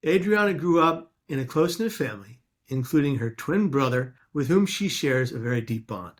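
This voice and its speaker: An American man hosting an interview podcast, speaking in an effusive, emphatic way with deliberate phrasing. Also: mid-range voice, expressive.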